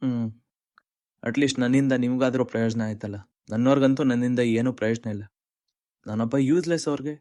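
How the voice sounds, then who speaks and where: A man's voice: mid-range voice, fairly even intonation, fairly quick, dark tone, no room sound, natural voice. A man playing a humble, good-hearted, downhearted young man, speaking politely and earnestly in a cartoon story. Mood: dejected. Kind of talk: dramatic dialogue in a cartoon short story.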